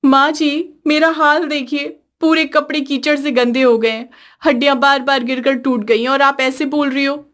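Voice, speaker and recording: Woman putting on a high-pitched, whiny young daughter-in-law. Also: steady tone, moderate pace, a bit bright. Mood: complaining. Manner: whiny, sassy. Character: young sharp-tongued, complaining daughter-in-law.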